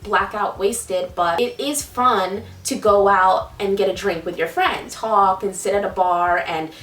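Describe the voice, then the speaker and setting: A woman's voice: medium pitch, a little slow, a bit bright. A twenty-year-old woman with an American accent, chatting casually to viewers as a vlogger in a question and answer style. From United States, partly Jamaica in early childhood. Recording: roomy, distant mic, faint music.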